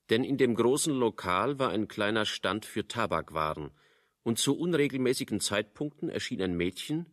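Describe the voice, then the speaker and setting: Male narrator for radio drama, lowish pitch, literary storytelling, very dry close sound. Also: fairly bright tone, dry recording.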